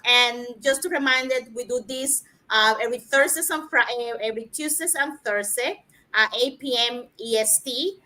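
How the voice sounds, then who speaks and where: Bright-voiced woman from Cuba. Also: on the high side, moderate pace. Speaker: co-host and panelist. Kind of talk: casual talk show chat.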